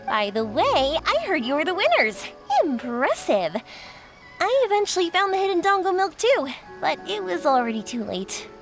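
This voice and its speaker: An upbeat, friendly young woman in a video game. Her voice is extremely high and bounces around with chatty energy. Music plays clearly behind. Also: sing-song, moderate pace, exaggerated voice. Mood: cheerful. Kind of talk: English video game voice acting.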